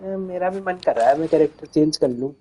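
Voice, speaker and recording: A man's voice: on the high side, rapid-fire, crowd noise. A man who natively speaks Hindi, giving casual, informal live commentary as a game streamer. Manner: informal, addresses viewers as brother.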